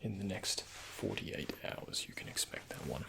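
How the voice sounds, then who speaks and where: A soft-spoken man with a breathy, often half-whispered voice, playing a doctor in an ASMR roleplay and giving gentle instructions and reassurance. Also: low-pitched, lively intonation, unhurried, low-quality recording.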